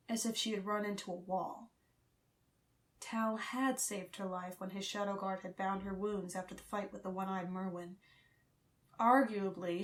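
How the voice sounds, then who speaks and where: A woman reading fiction as an audiobook narrator, in a scripted, dramatic storytelling style. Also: medium pitch.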